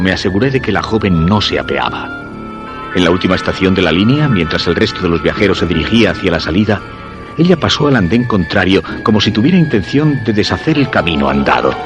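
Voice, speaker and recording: A low-voiced shy, obsessed man from a suspense radio play, speaking with a Spain accent. He confesses in measured, literary phrases with lively swings of pitch, over clear background music. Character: Guillermo, shy obsessed man secretly following a young woman. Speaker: narrator and lead actor. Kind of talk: first-person suspense radio drama narration.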